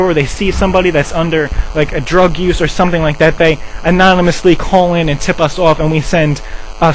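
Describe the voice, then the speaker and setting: A man's voice: a bit bright, natural voice. A man playing an officious, defensive drug treatment center staffer in a rambling, insistent improvised prank phone call. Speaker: prank caller. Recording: noisy low-quality cell phone call, hum.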